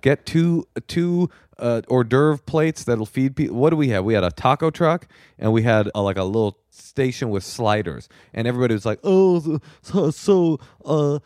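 A man's voice: mid-range voice, animated, normal speed, darkish tone, no room sound, clean studio sound. A man hosting a comedy podcast, chatting in a brash, opinionated, profane way. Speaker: comedy advice podcast host. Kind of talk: bantering comedic chat.

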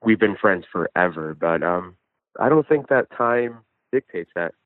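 A man's voice: low voice, lively intonation, a little slow, dry recording. A man calling in to a show, talking casually, hesitantly and with swearing about his own troubles over a phone line. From New Jersey. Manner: hesitant, profane. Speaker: phone-in caller. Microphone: phone call. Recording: muffled band-limited phone line.

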